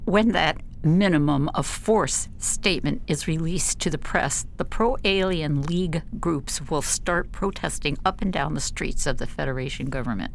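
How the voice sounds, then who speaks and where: A woman's voice: sits low, normal speed, creaky, few pauses, slight background noise, poor audio. A woman playing an assertive, skeptical psychologist in a science fiction radio drama, with a dry, argumentative manner. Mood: dry, firm.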